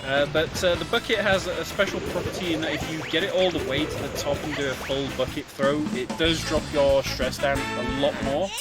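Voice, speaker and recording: A man giving casual, explanatory speedrun commentary in a somewhat halting way. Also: fairly high pitch, steady tone. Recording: game music behind the voice. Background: video game music.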